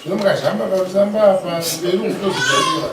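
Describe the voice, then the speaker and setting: A Zimbabwean man leads a staged family negotiation in a deliberate, procedural manner. He is recorded from a distance in a roomy space with clear background noise. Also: sits high, sing-song. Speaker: lead negotiator for the bride's family in a dramatized Shona bride price ceremony. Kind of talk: dramatized ceremonial negotiation.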